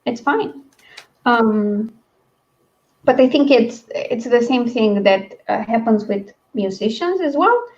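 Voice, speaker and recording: A woman's voice: fairly high pitch, lively intonation, slow pace, a little mellow, lo-fi sound. A woman hosting a livestream book discussion in a casual, rambling, chatty way. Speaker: host of a book read-along livestream.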